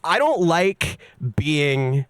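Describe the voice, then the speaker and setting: Brief: young man, personal narration, wry and candid, lively up-and-down delivery, dry close sound. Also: a little high, extremely slow, clear, resonant tone, no echo, soft background music. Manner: candid, wry and self-mocking. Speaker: streamer and musician.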